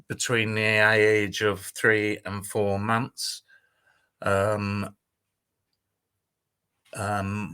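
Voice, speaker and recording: Older guy as interview guest, bright lowish voice, hesitant, lots of ums. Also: smooth. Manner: hesitant, many ums and restarts, dry humour. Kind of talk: casual interview.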